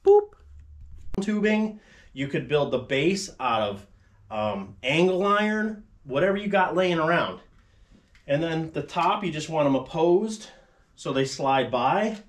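A man in his forties, a fabrication channel host, casually explaining a metal shop tool in his home garage, rambling with frequent asides. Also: a little high. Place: cluttered home garage workshop with tarp walls.

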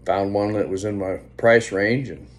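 Low-pitched middle-aged man, casually rambling. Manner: unhurried, meandering, trailing off. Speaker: vlogger. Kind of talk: casual rambling vlog chat. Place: indoors, small room at home. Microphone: phone at arm's length. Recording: faint background hum on phone recording.

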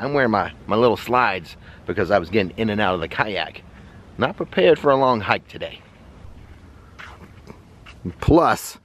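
A man in his forties with an American accent, chatting upbeat to viewers as he kayaks and tours a riverside park, filming himself at arm's length outdoors. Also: on the low side. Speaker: travel vlogger. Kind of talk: casual travel vlog narration. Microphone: camera held at arm's length.

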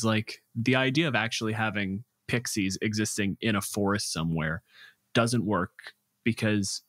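A man talking casually as a podcast co-host, explaining game creatures in an animated way. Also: on the low side, no room sound, studio quality. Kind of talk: casual chat about tabletop game monsters.

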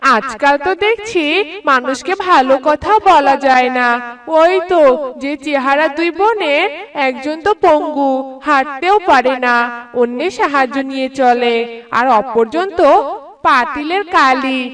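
Woman playing a mean cartoon village aunt, high voice, sneery fake pity, taunting. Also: animated, unhurried, a bit bright, far from the mic. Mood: spiteful, sneering. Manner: sneering, mock-sympathetic. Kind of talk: Bengali cartoon story acting.

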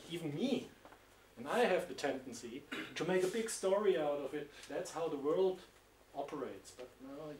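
A man's voice: fairly high pitch, darkish tone, a little hiss or hum. A middle-aged man with a German accent giving a university lecture, explaining slides and pointing as he talks. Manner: explanatory, pointing at slides, gestures while speaking. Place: seminar room with projector. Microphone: distant room mic. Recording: echoey room, speaker far from mic.

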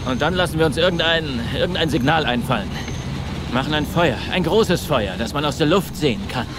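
A man acting an excitable, nervous middle-aged everyman in a film dub, giving agitated practical instructions. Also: a little high, fairly quick, background noise, natural voice. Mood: eager, rallying. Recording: film soundtrack with loud music.

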